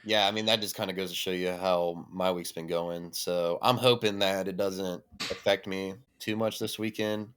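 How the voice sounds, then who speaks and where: A man's voice: deep voice, fairly even intonation, moderate pace, few pauses, a bit bright, clean tone. Stuffy, slightly nasal man co-hosting. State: stuffy, a little nasal from allergies. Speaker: podcast co-host. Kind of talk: casual chat.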